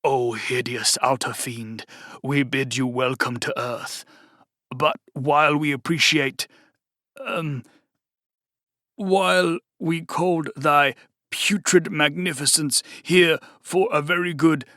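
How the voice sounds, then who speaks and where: A man's voice: on the high side, slow, sharp tone, no echo, studio quality, heavily acted. A man doing a pompous old cult leader. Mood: nervous. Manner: solemn, archaic, stiff delivery.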